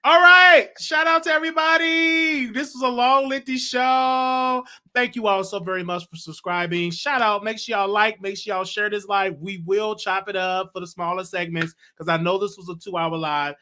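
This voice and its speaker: Bright-toned host running a live call-in show. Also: male voice, sits high, moderate pace, few pauses. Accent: Texan. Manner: laid-back, murmurs short agreements. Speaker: host taking callers.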